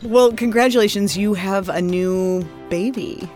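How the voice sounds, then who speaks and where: A woman hosting a business podcast, reading a scripted intro and then interviewing guests in a studio with a bright, easy manner. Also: mid-range voice, a little slow, soft background music. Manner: bright, lively, laughs easily. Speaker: podcast host and magazine editor.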